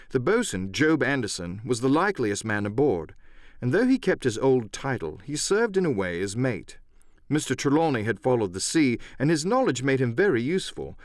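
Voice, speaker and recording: A man's voice: medium pitch, no room sound, clean studio sound. A man narrating an adventure audiobook as a scripted dramatic reading with a theatrical storyteller's delivery.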